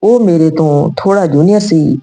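Woman reading a wistful old retired soldier, low-voiced. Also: normal speed, warm tone, low-quality recording. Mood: wistful, regretful. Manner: reminiscing, sentimental.

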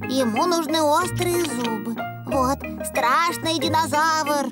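A woman's voice: high voice, takes it slowly, over loud music. A woman voicing a cheerful older pig girl in a children's cartoon dub.

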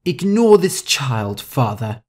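A woman's voice: sits low, lively intonation, takes it slowly, darkish tone, pitched above the performer's own voice, full character voice. A woman voicing a haughty, vain, hot-tempered older heiress in an audiobook story, with an imperious, theatrical manner. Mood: annoyed, demanding.

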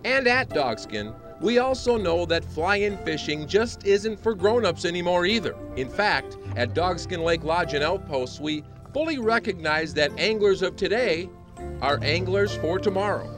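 Guy doing a bright salesy voice-over for a lodge ad, highish voice, music going loud under it. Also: expressive, unhurried. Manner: upbeat, polished sales delivery. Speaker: promotional narrator. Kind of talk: scripted voice-over narration.

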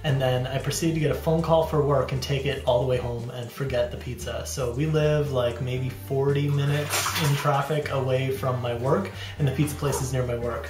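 A man's voice: medium pitch, echoey room. A man in his thirties chatting casually to camera as a dad vlogger, rambling and self-deprecating, recorded on a handheld camera in a small bathroom. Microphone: handheld camera at arm's length.

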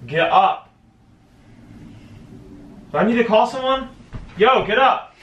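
A man's voice: extremely high pitch, lively intonation, slow, muffled recording. A young man talking casually in short, slangy phrases. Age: young adult. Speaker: prank target, brother of the host. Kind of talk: casual chat. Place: living room, indoors. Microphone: camera across the room. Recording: echoey room, some noise, off camera mic.

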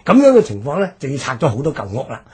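A man with a Hong Kong Cantonese accent, explaining history as a radio presenter with drawn-out phrase endings. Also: medium pitch, very animated, dark tone, slightly rough.

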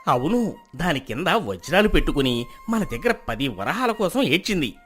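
An actor playing a miserly, crafty village husband who feigns devotion, speaking with theatrical emphasis in a moral tale. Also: male voice, fairly high pitch, normal speed, soft background music. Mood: crafty plotting. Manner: animated, emphatic, theatrical storybook dialogue.

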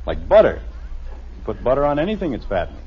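Exasperated radio sitcom dad, swooping pitch. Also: male voice, huge pitch swings, quick pace, breathy edge, exaggerated voice. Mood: defensive. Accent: American. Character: middle-aged suburban father, exasperated and dry. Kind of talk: 1950s American radio comedy acting. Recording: muffled old radio broadcast with music.

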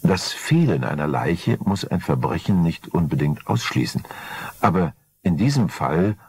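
An older man narrating a crime radio play in a measured, dry way, from a 1980 record. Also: sits low, big pitch swings, a little mellow. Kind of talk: scripted crime story narration. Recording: 1980 vinyl record transfer.

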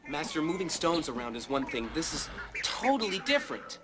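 A young actor playing a doubtful, impatient apprentice who protests in frustration. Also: male voice, on the high side, sing-song, fairly quick, heavy background noise. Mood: frustrated. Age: young adult. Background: film score.

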